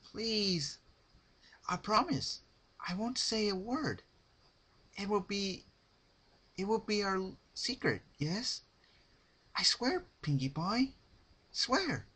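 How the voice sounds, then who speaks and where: A man voicing a frightened female pony, halting and pleading, in a fan-fiction dramatic reading. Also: high-pitched, big pitch swings, bright, forceful tone, slightly rough, voice pushed up, full character voice. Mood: desperate pleading.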